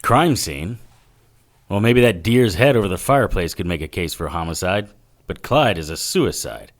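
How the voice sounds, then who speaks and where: Noir gumshoe, low voice, wisecracking, wry, radio drama narration. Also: male voice, expressive, normal speed. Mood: sardonic. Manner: wry, sardonic. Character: wisecracking hardboiled 1940s male private eye.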